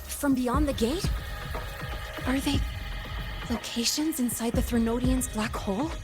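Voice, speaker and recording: A woman's voice: high voice, measured pace. A woman playing an inquisitive, wry young adventurer in a video game, with casual, colloquial delivery. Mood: curious. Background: music.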